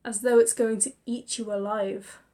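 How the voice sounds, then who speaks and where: A woman's voice: on the high side, normal speed. Woman narrating a spooky story. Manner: expressive, chatty asides. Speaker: reader and narrator. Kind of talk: narrating a visual novel with inner monologue.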